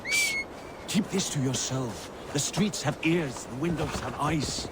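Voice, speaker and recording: A man's voice: on the high side, huge pitch swings, unhurried, heavy background noise. A man playing a boisterous, scheming, hard-drinking Viking warrior in a dramatic video game, with a theatrical way of talking. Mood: conspiratorial.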